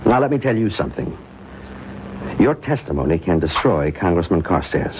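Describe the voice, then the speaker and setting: A man's voice: low voice, big pitch swings, moderate pace. An actor playing a skeptical, insistent doctor in an old-time radio drama, a man who questions firmly and pointedly. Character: skeptical, probing male doctor, insistent and analytical. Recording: muffled, hissy old radio recording.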